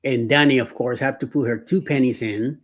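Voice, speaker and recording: A man in his sixties hosting a casual chat, telling stories with many pauses, fillers and restarts. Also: mid-range voice, fairly even intonation. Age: 60s. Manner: rambling, many fillers and restarts, laughs often. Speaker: show host. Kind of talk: casual live-stream chat.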